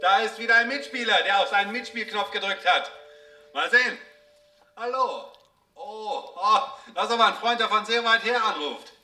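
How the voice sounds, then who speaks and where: A man's voice: sits high, normal speed, sharp tone. An actor playing a jolly, oblivious male television host of the future in a radio drama, with jovial, patronizing showman banter. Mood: excited, curious.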